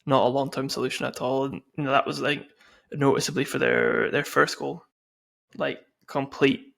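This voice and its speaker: A man's voice: medium pitch, flat delivery, moderate pace, gravelly, a bit bright. A man co-hosting a sports podcast, talking casually and conversationally about football.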